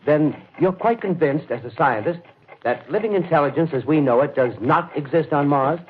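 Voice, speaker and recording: A man's voice: fairly high pitch, animated, close to an everyday voice. Tinny 1930s radio field reporter, acted by a man. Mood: earnest, courteous. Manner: formal, deliberate, with small hesitant repeats. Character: earnest, courteous male radio field commentator. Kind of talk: 1930s radio drama acting as live reportage. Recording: tinny, noisy old radio broadcast.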